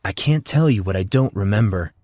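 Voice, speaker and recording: Male reader as scarred teen boy, low-voiced, dry and sarcastic. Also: expressive, a little fast, mellow, dry recording, close to an everyday voice. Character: scarred, sardonic teenage boy.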